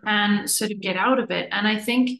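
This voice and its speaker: A woman's voice: medium pitch, normal speed, a bit bright. A middle-aged woman, born in the US and raised in Zimbabwe, talking earnestly as an interview guest and repeating words for emphasis. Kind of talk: reflective conversational talk. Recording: echoey room.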